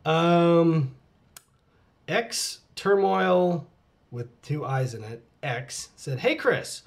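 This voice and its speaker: A man's voice: a little high, expressive, drawn-out words, vocal fry. A middle-aged man at home, casually reading and answering fan comments, laughing often and dropping into muttered asides. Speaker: channel host answering fan questions. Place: at home with his cat.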